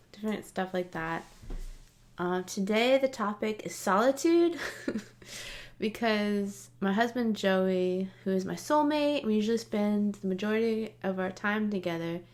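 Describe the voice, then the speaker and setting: A woman in her late thirties talking casually to camera in a personal vlog, chatty and laughing often, with frequent fillers. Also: mid-range voice. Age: 30s. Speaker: vlogger.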